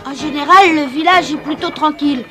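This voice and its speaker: An excitable young cartoon boy voiced by a woman, pitched very high. He is mischievous and eager, speaking in exclamations as a French dub hero, with music playing behind him. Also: brisk, close to an everyday voice. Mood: nostalgic narrating. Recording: music under the voice.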